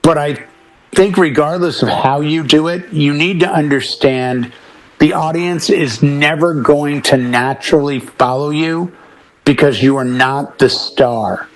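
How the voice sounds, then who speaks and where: A man's voice: on the high side, unhurried, poor audio. Middle-aged man, emphatic panel talker. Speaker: talk show panelist, professional DJ. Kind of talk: casual panel chat.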